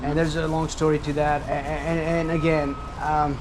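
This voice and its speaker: Male vlogger, earnest, halting restarts, slightly higher voice, noisy outdoor sound. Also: level tone. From Nepal. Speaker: travel vlogger. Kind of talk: casual vlog chat.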